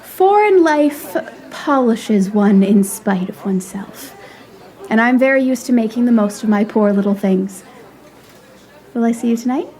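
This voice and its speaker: A woman playing a refined, affectionate young lady abroad in a period audio drama, with an animated, formal way of talking. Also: a little high, unhurried, some chatter behind. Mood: playful, poised. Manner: animated, genteel, formal phrasing.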